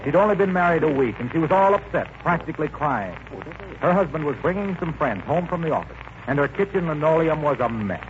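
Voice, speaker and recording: An actor playing an eager, earnest salesman with an emphatic, persuasive pitchman's delivery, in 1940s radio comedy style. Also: expressive, brisk, lightly acted. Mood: earnest, urgent. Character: eager, earnest, smooth-talking salesman pleading his case. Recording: old radio recording, dull, faint noise.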